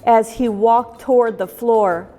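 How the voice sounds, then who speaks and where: High-voiced woman storyteller in long reverb. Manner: expressive, measured storytelling delivery. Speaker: children's storytime host. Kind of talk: read-aloud picture book narration for children. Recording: long reverb, music behind. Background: music.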